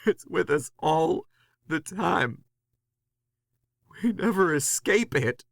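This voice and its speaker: An actor playing a haunted, panicked man recording a halting, anguished confession in a horror audio drama. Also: fairly high pitch, animated, vocal fry, no room sound, exaggerated voice. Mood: desperate dread. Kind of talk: first-person horror audio drama monologue.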